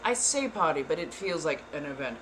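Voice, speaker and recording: A woman's voice: sits low, very animated, fairly quick, slight background noise, rough recording. A woman hosting live tarot card readings, speaking throughout in a theatrical put-on persona accent and calling her viewers darling. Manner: theatrical, calls listeners darling. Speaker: tarot reader and livestream host.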